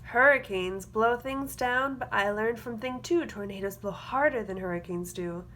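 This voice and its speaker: A woman reading a children's picture book aloud as a teacher, with expressive, rhythmic delivery. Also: a little high, normal speed. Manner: rhythmic, expressive delivery of rhyming verse with explanatory asides. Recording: clear background noise.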